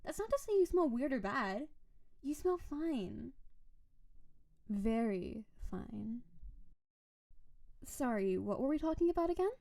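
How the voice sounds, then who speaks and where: A woman playing a doting, possessive snow-spirit wife in an intimate roleplay audio, speaking to her beloved in a sweet, teasing, clingy way with soft menace. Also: sits high, big pitch swings, slow pace, creaky, pauses often, full character voice. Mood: apologetic, flustered. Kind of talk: intimate scripted roleplay audio addressed to the listener.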